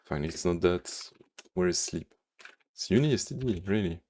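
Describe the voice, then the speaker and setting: A man's voice: low-pitched, lively intonation, normal speed, darkish tone, a little hiss or hum. A man from Belgium with a Belgian French accent, coding on a live stream and answering viewers' chat, thinking aloud with lots of fillers and humming to himself. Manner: thinks aloud with many fillers, hums to himself while working.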